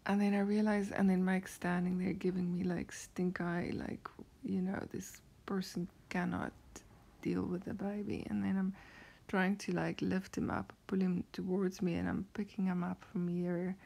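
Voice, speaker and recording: A woman with a South African accent rambling softly through a strange dream, often half-whispering and hesitating with many fillers. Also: lowish voice, level tone, normal speed, gravelly. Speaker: vlogger.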